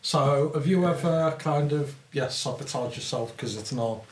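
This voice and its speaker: Animated Scouse man, slightly high voice. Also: unhurried, echoey room, slight background noise. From Liverpool, England. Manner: laughs easily, swears, animated storytelling. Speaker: podcast co-host. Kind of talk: casual podcast chat.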